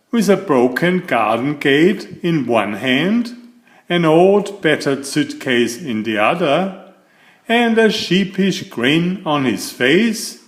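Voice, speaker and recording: A man reading a children's storybook aloud in a measured, expressive storytelling manner. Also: on the high side. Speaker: storybook narrator. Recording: roomy, echoing sound.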